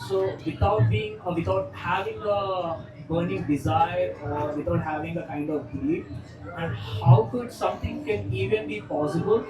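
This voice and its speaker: Distant, echoey man with a halting question. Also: sits high, a crowd in the background. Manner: halting, repeats and rephrases phrases. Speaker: audience member asking a question. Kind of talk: spontaneous question at a live talk. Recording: dull, echoey, distant, music behind.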